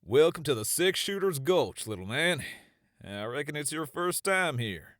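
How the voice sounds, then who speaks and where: An actor playing an easygoing but tough cowboy in an audiobook drama, with a folksy American Western drawl. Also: male voice, fairly high pitch, big pitch swings, a little slow, fairly bright tone, no room sound, studio quality. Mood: hearty, welcoming. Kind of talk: audiobook drama character acting. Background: music.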